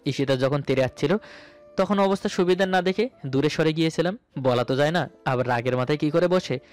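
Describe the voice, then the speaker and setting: A man's voice: a little high, fairly quick. A man narrating a romantic story dramatically in the first person, as its male lead. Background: background music.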